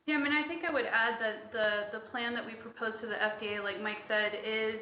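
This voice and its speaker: A woman executive giving a measured, technical explanation off the cuff on a conference call. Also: a little high, steady tone. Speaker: company executive. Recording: muffled, echoey, noisy conference line.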